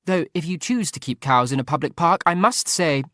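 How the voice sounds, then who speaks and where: Lively young lady, high and breathless, quick to laugh; male narrator puts her on. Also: no echo, studio quality. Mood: eager.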